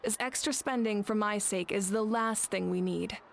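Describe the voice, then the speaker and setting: Casting: actress as dignified female archon, mid-pitched, formal and composed, anime game, faint ambient noise. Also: vocal fry, natural voice. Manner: measured and formal. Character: composed, authoritative, compassionate female ruler deity.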